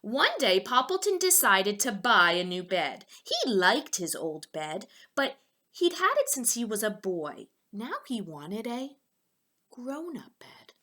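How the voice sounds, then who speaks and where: A woman's voice: a little high, very animated, slow, pushed and bright. A woman teacher reading a children's storybook aloud, expressively, with asides spoken directly to her young listeners.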